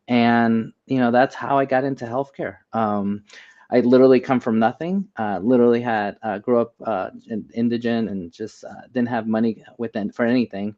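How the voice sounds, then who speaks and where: A man's voice: on the low side, darkish tone. Dull-sounding recording of an earnest American man. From United States. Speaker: interview guest, healthcare AI expert and doctor. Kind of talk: conversational interview, advice and personal stories. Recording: dull, lo-fi audio.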